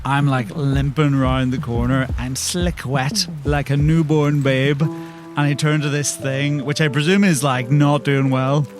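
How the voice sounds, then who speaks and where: A man playing a tabletop game, describing his character's actions in a casual, comic and animated way. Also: mid-range voice, measured pace. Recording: music behind the voice.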